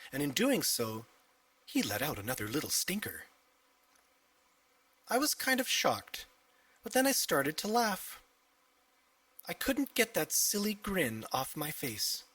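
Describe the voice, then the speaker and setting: A man reading a children's audiobook as a cheeky, excitable young boy, with animated, emphatic delivery. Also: mid-range voice, breathy edge, distant mic, heavily acted. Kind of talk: first-person children's audiobook storytelling.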